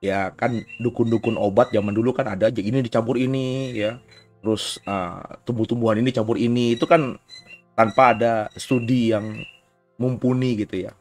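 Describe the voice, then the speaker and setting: A man's voice: mid-range voice, steady tone, normal speed, darkish tone, soft background music. A man preaching a conversational sermon in an informal way, often tagging phrases with short checks, while getting over a cough. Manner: informal, frequent "ya" tags and asides. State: recovering from a cough. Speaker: pastor giving a recorded sermon.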